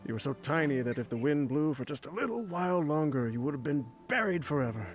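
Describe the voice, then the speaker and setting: A man's voice: on the high side, lively intonation, fast talker, lightly acted. A man playing an ailing old mercenary leader in a video game, wry, boastful and fatherly as he voices a letter. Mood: boastful, fond. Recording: game music behind the voice.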